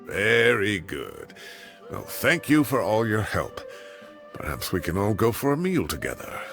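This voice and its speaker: An actor playing an excitable, indignant old retired professor in a video game, with a theatrical, exclamatory delivery. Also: male voice, lowish voice, measured pace, creaky, over music, heavily acted. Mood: earnest. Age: older.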